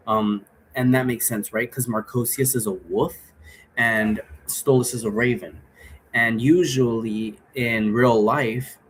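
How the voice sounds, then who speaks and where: A man with a mid-pitched voice talks off the cuff, explaining things in a loose, rambling way. He drifts into asides as he goes. There is noticeable noise behind him in the room. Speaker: presenter explaining an occult role-play game. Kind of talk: casual unscripted explanatory talk. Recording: clearly noisy room recording.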